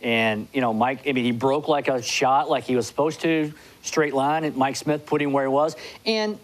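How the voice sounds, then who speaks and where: A man in his forties with a voice of ordinary pitch, appearing as a guest on a TV studio show and analyzing horse races. He speaks in quick bursts, restarting often and leaning on "you know", into a lapel mic. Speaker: guest horse racing handicapper. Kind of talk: studio interview. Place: TV studio with city skyline backdrop.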